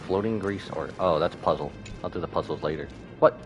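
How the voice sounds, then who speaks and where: A man livestreaming a game with casual, slangy, excitable commentary and reactions. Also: low voice, brisk. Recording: game music behind the voice.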